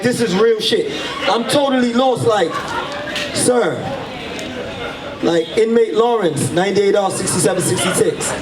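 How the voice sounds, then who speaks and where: A man in his forties with a Jamaican accent mixed with New York, telling a story on stage and switching into patois. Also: very high-pitched. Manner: animated, switches into patois for quoted voices. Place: live event stage. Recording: roomy venue sound from far off.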